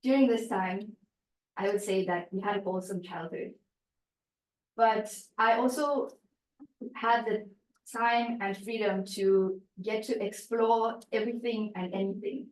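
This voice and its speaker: A woman's voice: mid-range voice, steady tone, a little slow, darkish tone, recorded from a distance. A woman delivers a prepared speech, telling her story and putting questions to the audience. She sounds set back from the mic in an echoey room. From South Africa. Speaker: club speaker.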